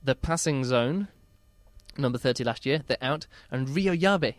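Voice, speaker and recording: A man hosts a podcast in a casual, animated way, stumbling through fillers and restarts as he counts down a chart. His voice sits in a middle range and sounds dry and close to the mic. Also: medium pitch, unhurried, dry recording. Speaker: podcast host.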